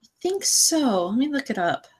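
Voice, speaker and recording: A woman's voice: sits high, very animated, moderate pace. A woman co-hosting a podcast, chatting casually about films in a gushing, slangy, effusive way. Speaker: podcast co-host.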